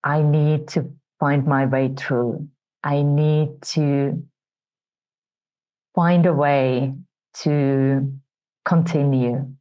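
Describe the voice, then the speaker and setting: Slow, low-voiced Finnish woman. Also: steady tone, lo-fi sound. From Finland. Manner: soft, reflective and unhurried. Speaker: guest. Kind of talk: personal storytelling in interview.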